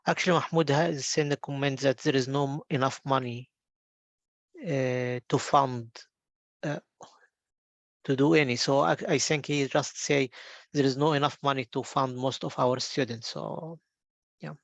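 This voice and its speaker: This man from Egypt moderates an online workshop discussion. He sounds measured and attentive. His voice is dry and close to the mic. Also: mid-range voice, fairly even intonation, no echo. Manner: measured, attentive, short backchannels.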